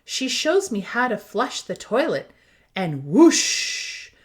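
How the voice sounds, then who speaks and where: A woman voicing an eager, proud toddler from a storybook, with simple, expressive, sing-song delivery. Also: a little high, slow. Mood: hesitant. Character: eager, proud toddler learning to use the potty.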